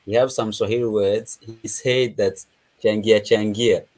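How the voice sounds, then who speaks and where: A woman on a call who speaks haltingly in short interjections. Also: rumbling low, fairly even intonation, darkish tone.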